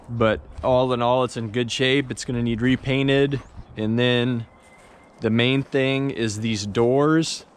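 A man's voice: medium pitch, clean tone. A man, casually explaining a barn repair job on his vlog with lots of fillers. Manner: relaxed and explanatory, with many "uh" and "kinda" fillers. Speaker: carpenter and channel host. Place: outdoors at a farmyard barn and inside a large workshop.

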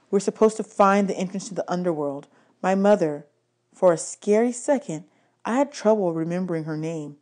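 A woman reading an audiobook aloud in an expressive storybook style. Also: mid-range voice. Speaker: audiobook reader.

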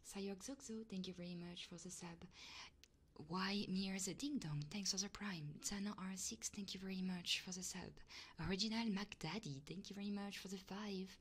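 A woman live streamer chatting casually and playfully in a soft, breathy ASMR style, often whispering, close to the microphone. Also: mid-range voice, steady tone, normal speed. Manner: casual and playful, swearing freely.

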